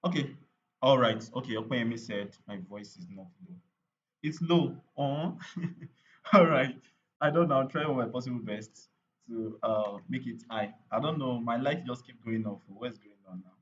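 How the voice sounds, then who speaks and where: A man's voice: mid-range voice, a little slow, lo-fi sound, a little hiss or hum. A man running a live online training on paid ads, chatty and repetitive, with lots of fillers and "right?" tag questions.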